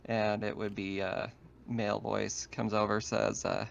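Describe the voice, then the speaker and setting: A man's voice: on the low side, flat delivery, measured pace, creaky, slight background noise. Male game master, slightly muffled. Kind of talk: casual tabletop game narration.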